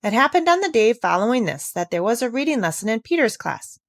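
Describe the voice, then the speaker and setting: A woman's voice: on the high side, sing-song, quick pace, dry recording, clean studio sound. A woman reading a children's classic aloud as a scripted storybook narrator.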